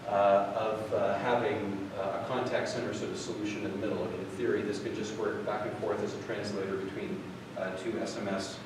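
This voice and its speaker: Man demoing software in an echoey hall. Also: fairly low pitch, little pitch movement, a little fast, hardly any pauses. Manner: rambling, lots of fillers and restarts. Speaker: product demo presenter. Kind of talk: live tech demo, casual. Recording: echoey hall from far off, noisy.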